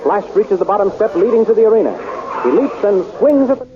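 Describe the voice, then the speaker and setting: A man's voice: high-pitched, lively intonation, quick pace, dull audio, crowd noise. A man narrating a 1930s radio serial in a dramatic, declamatory style, on a crackly old recording.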